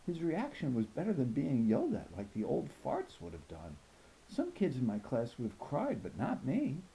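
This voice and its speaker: Children's audiobook: cocky fifth-grade prankster played by a man, very mellow tone, animated delivery, jokey and matter-of-fact. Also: mid-range voice, normal speed, creaky, a little hiss or hum, poor audio. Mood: cocky, amused. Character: cocky, mischievous fifth-grade boy telling his story. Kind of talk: first-person children's novel narration.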